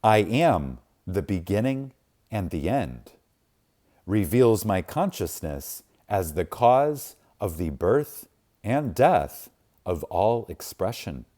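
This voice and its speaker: Slow, solemn man reading scripture-like text. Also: lowish voice, very animated, warm tone. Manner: solemn, emphatic, with deliberate pauses. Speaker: reader of a spiritual book. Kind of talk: reverent scripted reading, audiobook-like.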